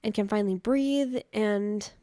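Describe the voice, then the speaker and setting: A woman hosting a podcast from her home, chatty and upbeat, her voice a little raspy after a cold. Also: medium pitch, measured pace, dark tone, no room sound, clean studio sound. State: recovering from a cold. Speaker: podcast host. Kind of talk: casual podcast chat.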